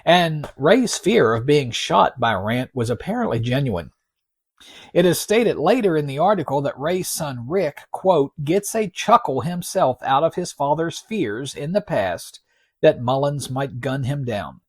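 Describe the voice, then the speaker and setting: Male podcast narrator, slightly higher-pitched voice, very dry sound, folksy and wry, deliberate emphasis. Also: expressive, breathy edge, dry recording. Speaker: podcast host. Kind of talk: narrated podcast storytelling with read-out article quotes.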